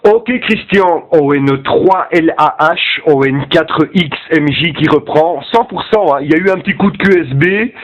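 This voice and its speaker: A man with a Belgian French accent chatting casually as an amateur radio operator, with a hesitant, halting delivery. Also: on the high side, muffled recording, a little hiss or hum. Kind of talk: casual ham radio chat.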